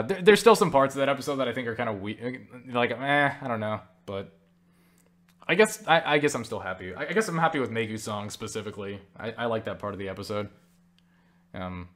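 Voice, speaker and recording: A man's voice: mid-range voice, a bit bright. Tired young man rambling casually. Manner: self-deprecating, joking, swears casually. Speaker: video creator answering viewer questions. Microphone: webcam setup.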